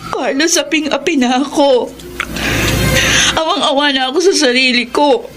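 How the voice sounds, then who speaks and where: A woman plays a wronged young Filipino girlfriend in a radio soap. The voice is high and plaintive, full of melodramatic grievance. Loud music runs under it. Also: normal speed, close to an everyday voice. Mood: aggrieved. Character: aggrieved young woman, tearful, bitter girlfriend. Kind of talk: Filipino radio drama acting.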